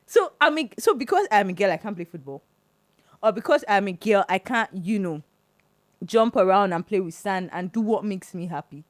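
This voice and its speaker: A young woman from Nigeria co-hosting a casual podcast chat, telling animated stories and acting out what others said. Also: mid-range voice, normal speed, no room sound. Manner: animated storytelling, acting out quotes. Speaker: podcast co-host.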